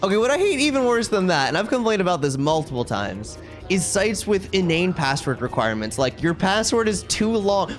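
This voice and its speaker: A man livestreaming a video game, chatting casually with viewers and commenting on play in a wry, ranting way. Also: sits high.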